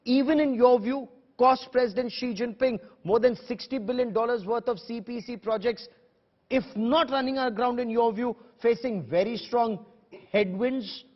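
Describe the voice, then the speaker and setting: A man with an Indian accent moderating a combative TV news debate, forceful, insistent and quick to interrupt. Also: very high voice, long reverb tail, muffled recording.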